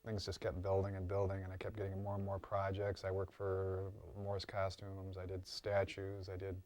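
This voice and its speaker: A man's voice: low voice, completely flat delivery, moderate pace, darkish tone. A man being interviewed as a costume and prop maker, explaining informally and often repeating and rephrasing himself.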